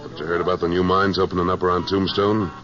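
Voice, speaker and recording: Deep, terse American cattleman-gunfighter for a 1950s radio western, played by a male actor; self-assured, plain-spoken, on a dull old broadcast recording. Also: little pitch movement, fast, music in the background, lightly acted. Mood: cool, persuasive. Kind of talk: 1950s radio western acting, first-person narration and dialogue.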